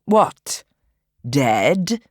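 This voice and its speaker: A woman voicing a curious, awestruck young girl in a children's audiobook, with breathy, hushed questions and exclamations. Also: sits low, big pitch swings, extremely slow, no room sound, studio quality, full character voice. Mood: hushed, anxious.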